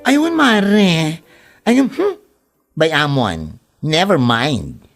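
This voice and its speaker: A woman's voice: sits low, sing-song, very slow, soft background music, exaggerated voice. A woman playing a laid-back village friend in an Ilocano comedy drama, with an Ilocano accent and exaggerated questioning interjections. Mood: puzzled reacting.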